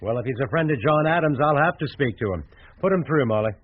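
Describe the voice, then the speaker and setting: An actor playing a shrewd, polite American advertising executive in a radio drama, businesslike and frank. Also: male voice, mid-range voice, lively intonation, fast, breathy edge. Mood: brisk. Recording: thin, phone-like radio drama sound.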